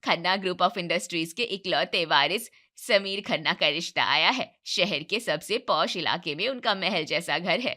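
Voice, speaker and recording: A woman acts out a kindly middle-aged mother in a cartoon moral tale. The voice is set lower, affectionate and full of advice. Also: measured pace, hardly any pauses, sharp tone. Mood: pleased. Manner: affectionate, advising. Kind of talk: moral-story cartoon voice acting.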